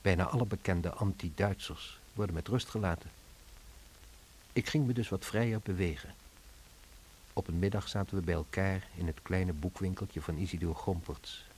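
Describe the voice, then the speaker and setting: A man's voice: deep voice, moderate pace, a little mellow, slight background noise, low-quality recording. An actor with a Dutch accent playing a reflective man in hiding who narrates a wartime radio play on an old recording. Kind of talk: scripted radio drama narration.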